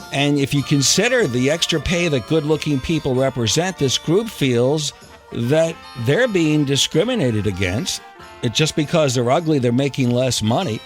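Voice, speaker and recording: A man hosting a talk radio show, speaking and asking questions in a conversational way. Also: on the high side.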